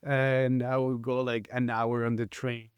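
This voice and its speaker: A man in his thirties from Chile, with a Chilean Spanish accent, talking as a guest in a casual interview, halting and full of fillers and restarts. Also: medium pitch, flat delivery, clear, resonant tone, no echo. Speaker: guest, mountain bike guide.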